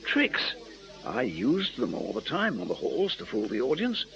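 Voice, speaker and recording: A man's voice: medium pitch, huge pitch swings, fairly bright tone, slight background noise, exaggerated voice. An actor playing a canny former music-hall memory man, coaxing and slyly patient, in a radio crime drama. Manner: coaxing, teasing, deliberate. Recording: muffled radio drama recording.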